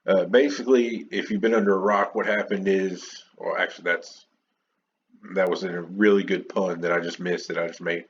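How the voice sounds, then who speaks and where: A man's voice: low-pitched, steady tone, normal speed, muffled recording, slight background noise. A man hosting his own podcast alone, chatting unscripted and opinionated, rambling with many restarts and fillers. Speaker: podcast host. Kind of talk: unscripted solo podcast chat.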